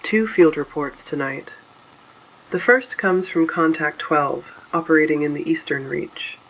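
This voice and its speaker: Man acting as resistance broadcast announcer, creaky voice, slightly high for a man, solemn, deliberate, guarded, scripted dystopian narration. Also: lo-fi sound. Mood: solemn, ominous. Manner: deliberate, solemn, short declarative sentences. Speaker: broadcast host.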